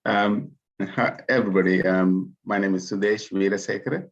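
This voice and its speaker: Deep gravelly man from Sri Lanka. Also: steady tone, normal speed. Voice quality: gravelly, husky. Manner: hesitant, many filler sounds. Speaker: student. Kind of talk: self-introduction on a video call. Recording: dull lo-fi call audio.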